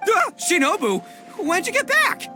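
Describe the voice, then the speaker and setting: A man voicing a brash, excitable gang boss for a game, bombastic and slangy. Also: extremely high pitch, measured pace, exaggerated voice. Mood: flustered. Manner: bombastic, slangy, stammering when flustered. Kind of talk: animated game voice acting. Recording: music under the voice.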